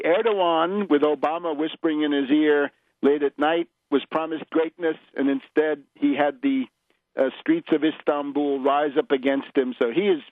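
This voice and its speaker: A male radio host giving unscripted political commentary in an emphatic, opinionated manner, stressing his phrases deliberately. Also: fairly high pitch, no echo, narrow, tinny audio.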